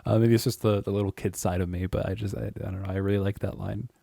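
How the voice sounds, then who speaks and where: Deep-voiced man rambling casually. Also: flat delivery, quick pace, gravelly, dark tone, dry recording. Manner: rambling and chatty, frequent chuckles, "um" and "uh". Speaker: YouTube creator. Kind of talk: casual monologue to camera.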